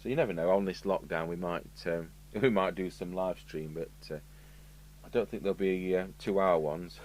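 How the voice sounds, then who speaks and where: British guy, lowish voice, muttering thru a repair in his workshop, matter of fact, hum n noise behind. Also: moderate pace, a little mellow. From United Kingdom. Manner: matter-of-fact, musing, talks to himself while working. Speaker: radio repair technician presenting a review. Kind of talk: casual hands-on workshop commentary, thinking aloud. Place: indoor electronics workshop. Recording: clear background noise with electrical hum.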